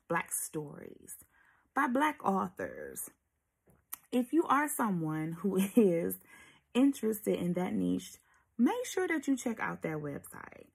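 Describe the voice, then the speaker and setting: A woman hosting a casual vlog, talking in an animated, emphatic and sassy way with a slightly husky voice. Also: mid-range voice. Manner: animated, emphatic, sassy asides with pet names. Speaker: host of an audiobook review vlog. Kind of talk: casual chatty video commentary.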